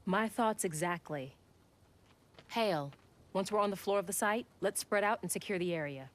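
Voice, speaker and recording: A woman playing a confident, commanding young squad leader in a video game, crisp and decisive. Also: medium pitch, normal speed, close to an everyday voice. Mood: businesslike. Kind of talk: video game cutscene acting.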